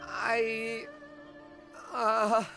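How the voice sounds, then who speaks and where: A woman's voice: on the high side, monotone, very slow, music in the background. An actress voicing a flustered, deferential female townsperson in a video game, politely overwhelmed. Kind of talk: English video game voice acting.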